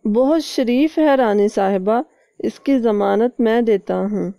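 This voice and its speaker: A woman's voice: a little high, slow pace, creaky, dark tone, no echo, dull audio. A woman voicing a helpful, gullible male crow in a cartoon, with a trusting, conversational manner. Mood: respectful.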